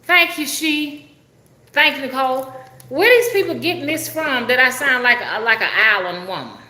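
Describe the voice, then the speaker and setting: Middle-aged Alabama woman, strong Southern accent, folksy live-stream host, bright voice, echoey room. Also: high-pitched, lively intonation, normal speed. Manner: folksy, chatty, uses country dialect. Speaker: rootworker and spiritual reader. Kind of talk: casual live-stream chat.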